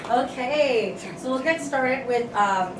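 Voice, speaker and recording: A woman's voice: high voice, lively intonation, takes it slowly, heavy background noise. A woman hosting a group event, announcing informally in a casual, hesitant, apologetic way. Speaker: host of a script table read. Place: large indoor room at a live event. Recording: echoey large room, distant mic, loud crowd chatter. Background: loud crowd chatter.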